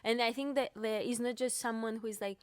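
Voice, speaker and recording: A woman's voice: on the high side, steady tone, brisk, no room sound, studio quality. A young woman from Cyprus with a Greek Cypriot accent, a pianist being interviewed, talking with many fillers and restarts. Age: young adult. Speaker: pianist guest. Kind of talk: podcast interview.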